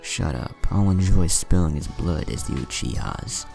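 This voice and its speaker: A man's voice: deep voice, moderate pace, creaky, music in the background. A man voicing a menacing, bloodthirsty teenage ninja boy in a threatening way for a story reading.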